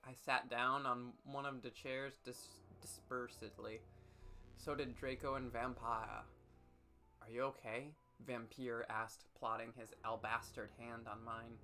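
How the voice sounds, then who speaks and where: A man's voice: medium pitch, level tone, unhurried, sharp tone, soft background music. A man doing a comedic dramatic reading with casual commentary, mock-serious and tripping over words. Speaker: streamer hosting a dramatic reading.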